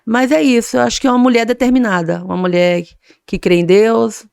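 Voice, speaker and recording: Woman, middle-aged, interview guest, determined, smooth and resonant. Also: on the high side, fairly even intonation, fairly quick, darkish tone, no echo, clean studio sound. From Maranhão, Brazil. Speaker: guest, association president. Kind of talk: interview answers.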